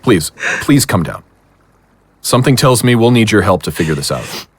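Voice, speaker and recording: A man's voice: lowish voice, very animated, moderate pace, slightly rough. A man acting a weary but determined investigator in an audio drama, with a wry manner. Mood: calm, persuasive. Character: weary, determined male investigator of strange happenings.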